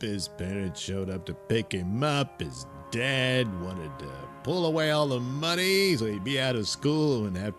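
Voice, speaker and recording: A man's voice: fairly low pitch, a bit bright, full character voice. Bumbling crude starship captain for sci-fi comedy, acted by a man: folksy, hesitant, swinging delivery, music underneath. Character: bumbling, crude, casual male acting spaceship captain. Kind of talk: comic sci-fi audio drama.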